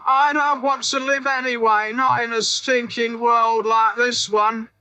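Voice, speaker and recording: A man's voice: very high voice, little pitch movement, measured pace, pushed and bright, smooth, no room sound, voice pushed up, heavily acted, low-quality recording. A man voicing a bitter, defiant old drunkard in an audiobook, wailing and despairing. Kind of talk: audiobook character voice.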